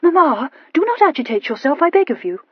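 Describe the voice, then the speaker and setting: A woman voicing an excitable, scolding young woman who exclaims and reproaches. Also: high voice, fairly quick, dry recording, dull audio, voice pushed up. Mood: indignant.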